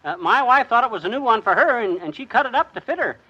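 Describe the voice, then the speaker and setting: An actor playing a blustery, boastful middle-aged husband in a 1950s radio sitcom. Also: male voice, very high-pitched, animated, slightly rough, highs cut off, full character voice. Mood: flustered. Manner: blustering, quick to bluster at others.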